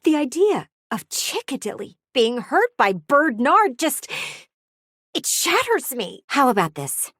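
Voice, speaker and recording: A woman's voice: high-pitched, big pitch swings, takes it slowly, a bit bright, dry recording, clean studio sound, full character voice. A woman voicing a nosy, prim, easily offended lady window in a video game, with a theatrical, gushing and condescending manner. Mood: concerned, meddling. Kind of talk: animated video game character acting.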